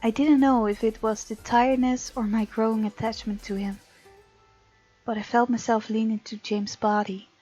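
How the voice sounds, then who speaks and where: A woman's voice: a little high, fairly even intonation, moderate pace, dark tone. A woman hosting a game playthrough, reading visual novel narration aloud and adding her own commentary. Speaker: game let's-play host. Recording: dull sound, music behind. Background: game music.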